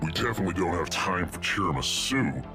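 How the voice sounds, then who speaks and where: A man's voice: low voice, expressive, fairly quick. A man playing a big, burly, earnest baker in a rebel squad in a scripted audio drama. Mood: deliberating. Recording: music under the voice.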